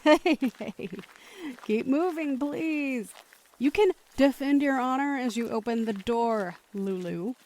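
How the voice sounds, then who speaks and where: A firm, higher-voiced protective mother. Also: slow pace, a little mellow. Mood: exasperated, placating. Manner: firm, instructing, patient. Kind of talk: audio drama acting.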